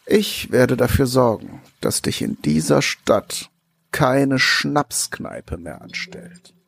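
An actor playing a domineering, sneering head chef in an audio drama, scornful and commanding. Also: male voice, medium pitch, sing-song, takes it slowly, creaky, slightly rough, no room sound, heavily acted. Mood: icy contempt. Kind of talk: German audio drama acting.

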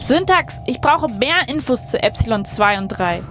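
Woman as a high-voiced, terse space station captain. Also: animated, natural voice. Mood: tense, dry. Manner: brisk commands, dry sarcasm, swearing. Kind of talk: science fiction audio drama acting. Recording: music bed behind voice.

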